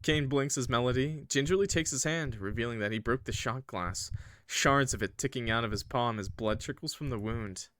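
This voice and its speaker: A man's voice: mid-range voice, normal speed, a bit bright, no echo. A man reading a visual novel aloud as a streamer, mixing narration with informal, sweary, joking asides to viewers. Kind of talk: let's-play narration mixed with casual chat to viewers.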